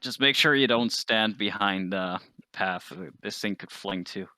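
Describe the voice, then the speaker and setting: A man's voice: fairly low pitch, unhurried, bright, forceful tone, clean tone, no echo. A man playing a tabletop roleplaying game, talking casually but precisely, explaining technical details.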